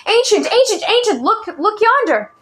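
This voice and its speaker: A woman's voice: on the high side, measured pace, pushed and bright. A woman voicing an eager, impulsive young boy who calls out breathlessly in a storybook reading. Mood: excited.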